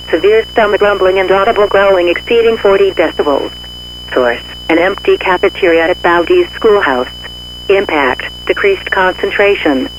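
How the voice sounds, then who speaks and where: A man reading a scripted emergency weather bulletin in a formal, measured, official way. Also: high-pitched, a bit bright. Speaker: emergency alert announcer. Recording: muffled radio-style audio with hum.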